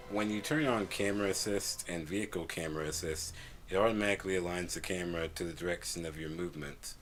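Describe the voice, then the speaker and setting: A man's voice: low voice, a bit bright. A man reviewing a video game in scripted narration, a little stuffy from a cold, plain and friendly.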